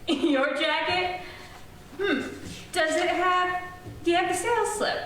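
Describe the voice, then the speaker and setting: A distant, heavily echoing recording of a woman playing a fussy store floor walker. Her high voice swoops through slow, scolding exclamations in an old-time radio comedy manner. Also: fairly bright tone, full character voice. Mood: stern, suspicious. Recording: distant, very echoey room with hiss.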